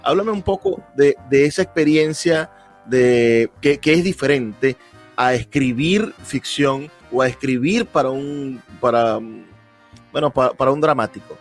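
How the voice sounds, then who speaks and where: A man with a Venezuelan accent hosting a radio interview, asking long conversational questions in a halting way, searching for words. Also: medium pitch, faint music behind.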